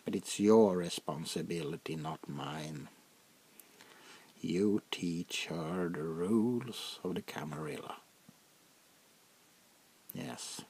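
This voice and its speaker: A man as a slow, gravelly, whispering vampire prince. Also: sits low, a little mellow, heavily acted. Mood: brooding, wary. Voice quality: gravelly, breathy half-whisper. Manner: slow, deliberate, condescending, drawn-out pauses. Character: haughty, menacing, centuries-old French vampire prince, softly imperious. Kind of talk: soft-spoken ASMR roleplay, half-whispered.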